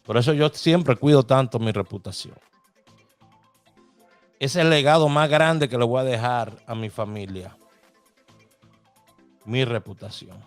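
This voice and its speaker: A man's voice: mid-range voice, a little slow, long pauses, dry recording, soft background music. A man hosting a lottery numbers show, giving emphatic, repetitive life advice to his listeners. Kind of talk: casual motivational talk to listeners.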